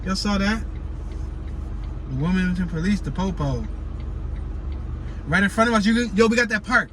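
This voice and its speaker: A man's voice: high-pitched, moderate pace, a bit bright. A man with a slight stutter, casually narrating a driving tour from his car and chatting playfully with viewers. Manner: chatty, playful, coins words, talks to viewers as y'all. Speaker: vlogger giving a driving tour. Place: inside a car driving through city streets. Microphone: camera mic in a moving car. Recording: road and engine noise.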